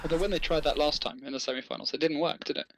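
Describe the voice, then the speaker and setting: A man's voice: a little high, steady tone, rapid-fire, a bit bright. A man hosting an esports match with casual, chatty commentary.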